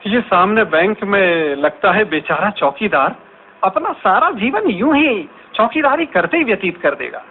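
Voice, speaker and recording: A man acting in a radio drama as an anxious lone wanderer, musing aloud in a halting, theatrical way. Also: sits high, sing-song, heavily acted. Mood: troubled musing. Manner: theatrical, halting, wondering to himself. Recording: tinny noisy narrow-band radio recording.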